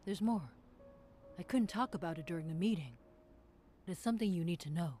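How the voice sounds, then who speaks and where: A woman voicing a serious, authoritative special-operations captain in a measured, matter-of-fact way. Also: lowish voice, lively intonation, warm tone, faint music behind, voice pushed down, lightly acted. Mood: discreet, serious.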